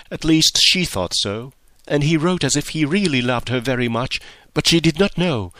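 A man putting on a nervous young woman, her voice lifted well above his own and tumbling out in eager, pleading rushes. The recording is close and dry, with no room sound. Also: fairly high pitch, sharp tone, dry recording, voice pushed up. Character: young anxious excitable woman.

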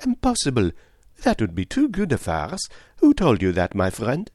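A man's voice: medium pitch, huge pitch swings, normal speed, darkish tone, dry recording, clean studio sound. A man voicing a clever, placid older foreign detective in an audiobook, with a precise, teasing, philosophical manner. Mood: astonished, incredulous. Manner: precise, philosophical, sprinkled with French endearments. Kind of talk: audiobook character dialogue.

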